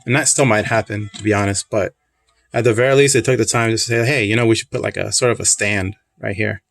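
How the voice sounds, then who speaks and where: A man casually reviewing a collectible pin, soft-spoken and rambling, with a voice he calls calming. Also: on the low side, fast talker, vocal fry. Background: classical music.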